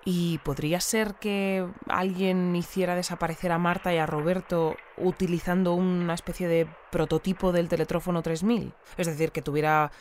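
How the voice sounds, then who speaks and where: An actress playing a composed, articulate radio news interviewer in an audio drama. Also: female voice, fairly low pitch, flat delivery, normal speed, few pauses, darkish tone, slight background noise, lightly acted. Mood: courteous, inquiring. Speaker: radio presenter.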